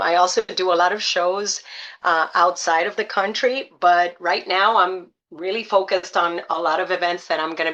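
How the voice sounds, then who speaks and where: A woman's voice: mid-range voice, pushed and bright, dull audio. A middle-aged woman from Puerto Rico, a salsa singer chatting as an interview guest, upbeat and full of uh and um fillers. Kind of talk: casual interview chat.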